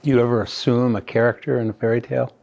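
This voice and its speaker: A man's voice: mid-range voice, flat delivery, rapid-fire, vocal fry, darkish tone. A man acting as interviewer, putting questions to a guest in a conversational way.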